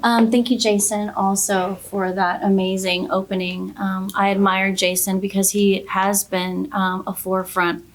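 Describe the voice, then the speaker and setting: A woman's voice: medium pitch, flat delivery, measured pace, hardly any pauses, rough recording. A woman speaking as a city council candidate at a forum, polite and gracious in her remarks.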